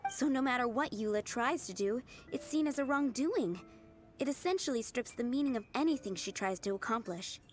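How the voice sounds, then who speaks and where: A kind young woman cook, voiced by an actress speaks with gentle sincerity. Her voice sits a little high. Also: expressive, a little fast, faint music behind, close to an everyday voice. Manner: gentle, earnest. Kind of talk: video game voice acting.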